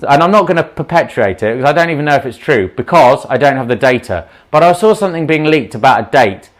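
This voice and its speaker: A man with a slight sore throat and a sniffle, a doctor talking straight to his viewers on a livestream. His voice sits at an ordinary middle pitch for a man. He speaks off the cuff, outspoken and impassioned, often drifting into asides. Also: animated, fast. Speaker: doctor giving a livestream talk. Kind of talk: unscripted livestream talk to viewers.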